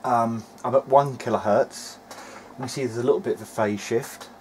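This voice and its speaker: A man's voice: medium pitch, steady tone, normal speed, darkish tone, slight background noise. A man giving a casual technical narration of an electronics repair as he works, thinking aloud a little hesitantly. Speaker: hobbyist presenter of an electronics repair video diary.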